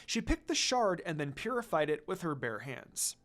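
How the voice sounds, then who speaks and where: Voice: mid-range voice, big pitch swings, normal speed, fairly bright tone, soft background music. A narrator reading a scripted fan story dramatically, in the manner of an audiobook. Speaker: fan fiction reader.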